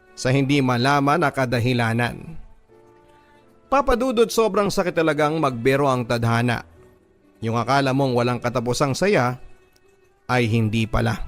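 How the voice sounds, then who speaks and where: A radio host reading listeners' letters aloud in an earnest, measured storytelling manner with emphatic pauses. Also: male voice, mid-range voice. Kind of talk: dramatic letter reading over music. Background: soft music bed.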